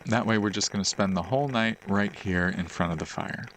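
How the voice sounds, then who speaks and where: A man playing an affectionate, easygoing boyfriend in an intimate roleplay monologue, talking gently and conversationally with a creaky voice. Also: fairly low pitch, slight background noise, close to an everyday voice, rough recording. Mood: cozy, affectionate. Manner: gentle, conversational, pausing for unheard replies. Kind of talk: roleplay audio monologue, intimate casual chat.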